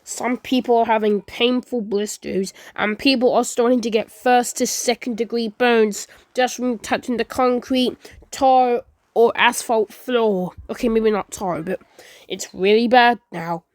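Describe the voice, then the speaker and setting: A woman with a fairly high voice delivers a homemade emergency announcement in a close, dry sound. She rambles through it, stumbling over phrases, repeating them and correcting herself as she goes. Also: steady tone, a little slow, no echo. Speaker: creator and performer of a fan emergency-alert scenario. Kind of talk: improvised amateur disaster broadcast narration.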